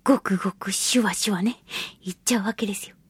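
A woman hosting a casual solo radio talk and reading listener mail, chatty, giggly and expressive, with a slightly breathy voice. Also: mid-range voice, fast, no room sound. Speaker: radio show host and voice actress.

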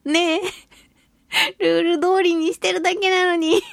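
A woman's voice: very high voice, flat delivery, normal speed, gravelly, no room sound, studio quality. A woman hosting a casual solo radio show, chatting expressively and giggly as she reads and responds to listener mail. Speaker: radio show host, voice actress.

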